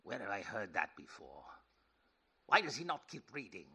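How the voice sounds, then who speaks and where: An actor playing a frightened old miser in a radio play, with a rough, husky voice and agitated, theatrical questioning. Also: male voice, lowish voice, very animated, moderate pace, sharp tone, heavily acted. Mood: anxious. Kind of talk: 1930s-style radio play acting.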